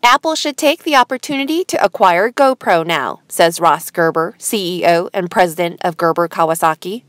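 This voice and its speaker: A woman host reading scripted news headlines in a formal, measured newsreader manner. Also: fairly low pitch, fairly bright tone, no echo.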